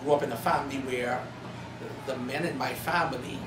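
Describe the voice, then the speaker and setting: A man in his thirties from the Bahamas, an author and pastor telling his life story in earnest, building to animated emphasis at a cafe event. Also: mid-range voice, brisk, loud music behind the voice. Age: 30s. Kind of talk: personal testimony at a bookstore event. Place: coffee cafe in a shopping mall. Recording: roomy, distant, noisy cafe recording.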